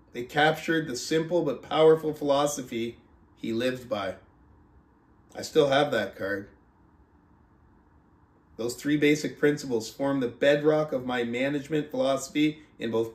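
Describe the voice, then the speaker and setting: A man who speaks as a motivational book club host, reading aloud and coaching in an emphatic, deliberate, rhetorical manner. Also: on the high side. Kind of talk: audiobook-style reading aloud and motivational talk. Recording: roomy, echoing sound.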